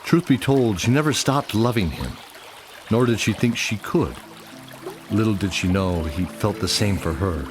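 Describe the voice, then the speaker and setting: A man's voice: on the low side, expressive, a little slow. A man narrating a scripted fantasy radio drama as its storyteller, with music underneath.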